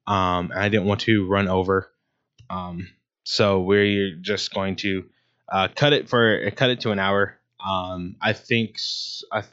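A man's voice: fairly low pitch, fairly bright tone. A man hosting his own sports talk show, rambling casually with many ums and restarts. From Ohio, USA. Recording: dull lo-fi sound.

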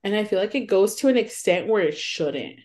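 A young woman co-hosting a podcast in casual chat, animated and laughing often as she acts out what people say. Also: mid-range voice, fairly quick. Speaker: podcast co-host.

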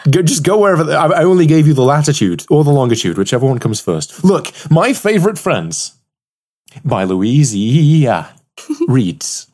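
Podcast host, wry and mock-earnest, lively melodic delivery, husky edge, loose conversational style. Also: medium pitch, moderate pace. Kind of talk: casual chat, reading poems aloud.

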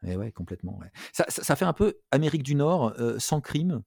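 A man's voice: medium pitch, sing-song, brisk, gravelly, a little mellow, no echo, clean studio sound. A man from France hosting a culture show in a casual, chatty, jokey way, often repeating himself.

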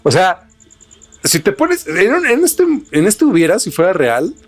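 A man with a Mexican accent explaining things as a podcast host, repeating phrases for emphasis and pausing to find words. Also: high voice, huge pitch swings, soft background music.

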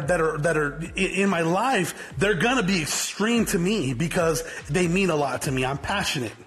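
Man in his forties, high voice, blunt and fiery, swearing, rambling off the cuff, faint music behind. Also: fairly bright tone. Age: 40s. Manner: rambling, repetitive, frequent swearing and restarts; fiery, blunt, addresses viewers as guys. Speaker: motivational vlogger, business owner. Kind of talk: unscripted motivational talk to camera.

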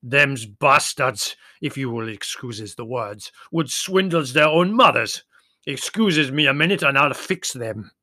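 A man's voice: mid-range voice, expressive, a little slow, pushed and bright, no room sound, studio quality. A man voicing a big, boisterous Greek taxi driver who speaks emphatic broken English in a storybook reading. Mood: indignant.

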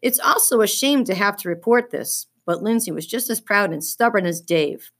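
A woman reading a children's book aloud as an expressive storyteller. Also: medium pitch, moderate pace.

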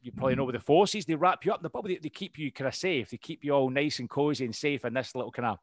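A man's voice: medium pitch, racing, no room sound. Scottish guy in his 30s rambling thru his story on a podcast, lots of ums, dry close mic. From Scotland. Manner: rambling, lots of ums and restarts, laughs easily. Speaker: podcast guest, RAF veteran. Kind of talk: casual interview chat.

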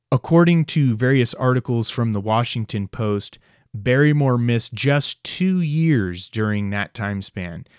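Man with clear, dry studio sound. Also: lowish voice, no room sound. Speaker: podcast host. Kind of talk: scripted introduction to an old radio drama.

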